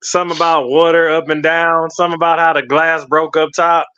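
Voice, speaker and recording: A man's voice: on the high side, flat delivery, brisk, bright, forceful tone, clear, resonant tone, dry recording. A man telling a story in a rambling, slangy way, swearing and using lots of fillers. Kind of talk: casual storytelling. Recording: slightly muffled lo-fi audio.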